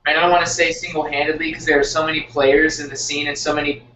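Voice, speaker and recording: A man's voice: a little high, flat delivery, fast talker, pushed and bright. A young man from Texas in a casual band interview, speaking in hesitant, searching phrases full of fillers. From Texas, United States. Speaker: band member being interviewed. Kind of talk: casual chat. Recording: roomy, distant, dull, noisy group recording.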